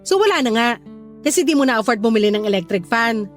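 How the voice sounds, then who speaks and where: A woman's voice: fairly high pitch, expressive, fast, faint music behind, lightly acted. A woman with a Filipino accent playing a thrifty middle-aged neighbor who needles slyly in a radio drama. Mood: mocking, teasing. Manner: sly, mock-sympathetic teasing.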